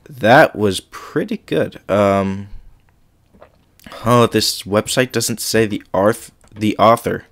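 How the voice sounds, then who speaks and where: A male podcast host with a slight cold, chatting casually with frequent ums and then reading a scary story aloud. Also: fairly low pitch.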